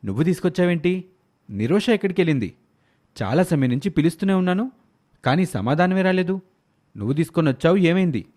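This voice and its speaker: A man voicing an earnest, protective young father in an animated moral story. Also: high voice, fast talker, long pauses. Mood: questioning. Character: protective, soft-hearted young father and husband.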